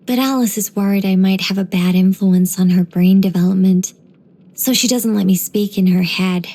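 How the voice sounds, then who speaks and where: A woman voicing a gentle, wise young angelic woman in game voice lines, soft and wryly musing. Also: medium pitch, steady tone, moderate pace, natural voice.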